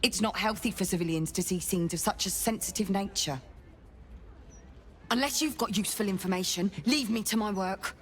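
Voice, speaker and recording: A woman's voice: mid-range voice, normal speed, slight background noise, natural voice. A woman playing a dutiful city guard investigator in a game, clipped, official and dryly wry. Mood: stern, wry. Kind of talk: video game dialogue.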